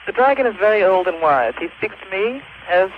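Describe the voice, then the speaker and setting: A man's voice: sits high, very animated, a little hiss or hum. A young male caller putting on an affected accent to play a solemn, cryptic cult grand master. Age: young adult. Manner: slow, solemn, evasive pronouncements. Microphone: phone call into radio show. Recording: muffled phone line on radio.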